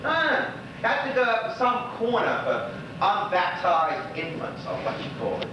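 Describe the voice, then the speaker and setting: A man's voice: sits high, wildly swooping pitch, unhurried, exaggerated voice. Echoey, distant man acting an old Bermudian widower. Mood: sorrowful, wistful. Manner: rambling, folksy, gossipy storytelling. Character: lonely old Bermudian widower, chatty, wistful neighbourhood storyteller. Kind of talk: stage monologue in conversational dialect. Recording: echoey stage recording from afar, noisy, dull.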